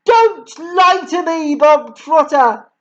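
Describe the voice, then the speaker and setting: A woman voicing a bullying, brawny headmistress who barks and shouts insults, pitched well above her own reading voice. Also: lively intonation, slow pace, muffled recording, full character voice. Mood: furious.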